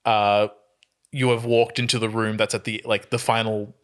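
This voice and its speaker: A man's voice: lowish voice, flat delivery, moderate pace. A man chatting casually as a player on a comedy game podcast, explaining things in a rambling way. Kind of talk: casual chat.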